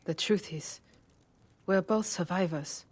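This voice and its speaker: A woman's voice: fairly low pitch, slow pace, a little mellow. An actress playing a sly, hardened young woman archer in a feudal Japan video game, with a wry, teasing manner. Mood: cool, scheming. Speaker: game character actor. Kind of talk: dramatic video game acting.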